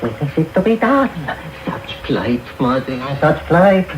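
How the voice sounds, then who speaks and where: A fawning old master thief in a radio drama, coaxing and oily. His pitch slides widely up and down, and heavy radio noise covers the recording. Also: sing-song, a little slow. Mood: greedy, anxious. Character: wheedling, sly old male crime boss, greedy and fawning. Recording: very noisy medium-wave radio broadcast off tape.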